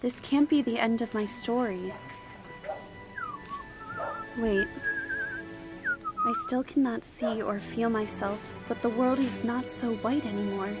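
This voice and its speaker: A woman's voice: fairly high pitch, lightly acted. A woman playing a dying, homeless young woman who wearily narrates her lonely life in an audio drama. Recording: voice over music.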